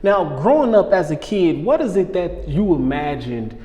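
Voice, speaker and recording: A man hosting a casual podcast interview, hyped and slangy, calling out to his listeners and backing his guest with steady mm-hmms. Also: high voice, normal speed, highs cut off. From Los Angeles. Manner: hyped, slangy, lots of listener call-outs and backchannel mm-hmms. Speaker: podcast host. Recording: long room reverb, faint background noise.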